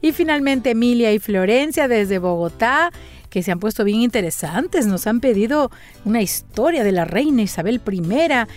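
Animated woman chatting to kids. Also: a little high, normal speed, soft background music. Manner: warm, playful and animated, reading out fan messages. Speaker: children's storyteller and channel host. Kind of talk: friendly chat to young listeners.

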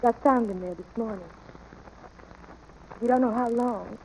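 An actress playing an anxious, tender ranch wife and mother in an old radio drama, speaking softly and earnestly. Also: fairly high pitch, unhurried. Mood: worried. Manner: soft, earnest, pleading. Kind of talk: 1940s radio drama acting. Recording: old noisy radio broadcast.

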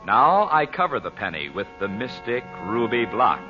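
A man's voice: medium pitch, big pitch swings, moderate pace. An American man announcing and narrating in a theatrical, emphatic old-time radio style. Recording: dull old radio recording with music.

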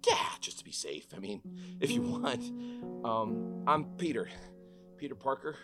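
A man's voice: mid-range voice, wildly swooping pitch, measured pace, music in the background. A man playing an awkward, eager young superhero at a table read, earnest and prone to stammering. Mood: nervous, flustered.

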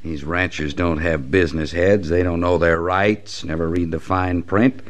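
Guy playing honest small town lawyer in an old radio western, really deep voice, folksy and steady. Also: normal speed, natural voice. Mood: earnest, indignant. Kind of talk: 1960s radio western acting.

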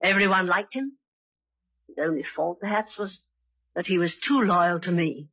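An actress playing an imperious, proud head of a theatre company in an old radio drama, formal and commanding. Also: female voice, lowish voice, moderate pace. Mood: haughty, composed. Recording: dull old radio recording.

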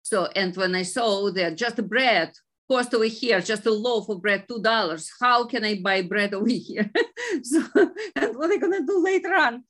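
A woman teacher from Ukraine, speaking thoughtfully in a panel discussion, pausing with frequent fillers. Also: a little high, lively intonation, fairly bright tone.

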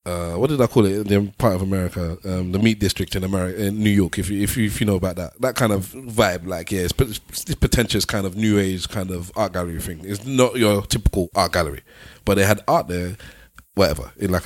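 A man's voice: sits low, fast, dry recording, studio quality. A man, co-hosting a podcast, telling stories and arguing his point with emphasis. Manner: emphatic, argumentative, animated storyteller. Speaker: podcast co-host. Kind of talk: casual banter and storytelling.

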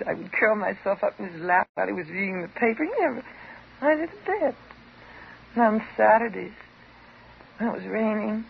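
An American actress playing an intense, unstable psychotherapy patient, theatrical and emotionally volatile, in a 1950s radio drama. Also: female voice, mid-range voice, creaky, exaggerated voice. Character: intense, unstable woman psychotherapy patient in love with her doctor. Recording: dull, hissy 1950s radio recording.

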